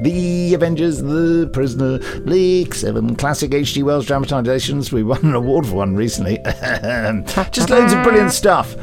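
A British man co-hosting a podcast in a chatty way, enthusiastic and given to wry, teasing asides. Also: fairly high pitch. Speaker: podcast co-host.

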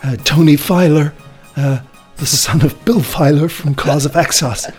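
A man's voice: a little high, unhurried, husky, faint music behind. A man hosting a podcast, chatting casually and reading out reviews in a jokey, stumbling way. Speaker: podcast host.

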